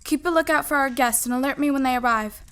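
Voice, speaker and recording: High-voiced, brisk daughter played by an actress. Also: female voice, fairly bright tone. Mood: brisk, anxious. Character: brisk, managing daughter of a genteel household. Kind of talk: period radio drama acting.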